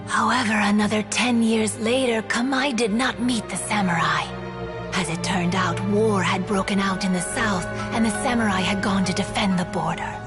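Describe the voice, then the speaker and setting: A woman's voice: medium pitch, natural voice. A woman voicing a wise, sorrowful female yokai spirit, telling a tale solemnly in a game cutscene. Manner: slow, solemn, melancholy telling.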